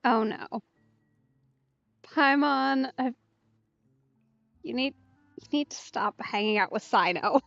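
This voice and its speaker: A woman's voice: a little high, takes it slowly, creaky, long pauses, fairly bright tone, no room sound. A woman giving casual, playful let's play commentary with a wry manner. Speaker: game streamer. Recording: dry, slightly dull microphone. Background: game music.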